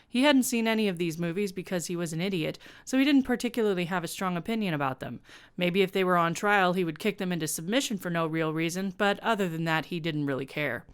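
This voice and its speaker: A woman with a clear, smooth voice pitched on the lower side reads her own comic fiction aloud. Her delivery is sardonic and snarky, like an author presenting to viewers. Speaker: fan fiction writer and show presenter.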